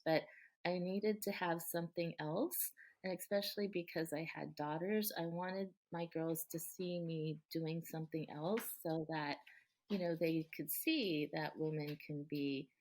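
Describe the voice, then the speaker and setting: A middle-aged woman with a somewhat low voice talks in a relaxed interview. She is earnest and thoughtful, pausing on ums and restarting her sentences, with little laughs slipped in. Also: poor audio. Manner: earnest and warm, many ums and restarts, frequent light laughs. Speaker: guest, local politician.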